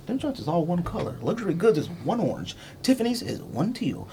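A man with a fairly high, very animated voice holds court as a podcast host. He talks in emphatic slang, repeating himself as he argues, with some noise behind him. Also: brisk, gravelly. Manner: emphatic, repetitive, slangy, argumentative. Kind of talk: animated sneaker debate, casual chat.

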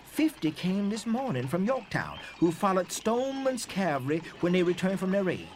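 A man acting an earnest Civil War era witness recounting escapes in a theatrical dramatic reading, in an animated storytelling manner. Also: high voice, moderate pace, a little hiss or hum. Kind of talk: theatrical first-person testimony, dramatic reading.

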